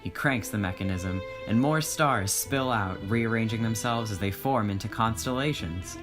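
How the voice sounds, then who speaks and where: A man's voice: on the low side, normal speed. A man reading a story aloud as voiceover, with informal, sweary and joking asides of commentary. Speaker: voiceover reader. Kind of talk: story narration with casual commentary. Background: music.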